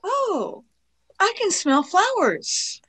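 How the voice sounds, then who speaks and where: A woman playing a girlish, excitable, inquisitive young space traveller in a radio play. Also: extremely high pitch, extremely slow, exaggerated voice. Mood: delighted.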